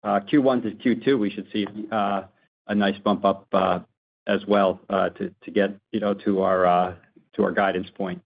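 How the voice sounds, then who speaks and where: A man answering analysts on an earnings call as a finance executive, in halting, hesitant phrases. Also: on the low side, level tone, gravelly. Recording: dull conference call audio.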